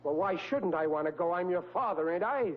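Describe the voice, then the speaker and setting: Muffled old radio sound with hum, exasperated American working-class father, high voice, stammering, flustered, sitcom bluster. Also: animated, a little fast. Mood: dejected, blustering. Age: middle-aged. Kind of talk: 1940s radio comedy acting.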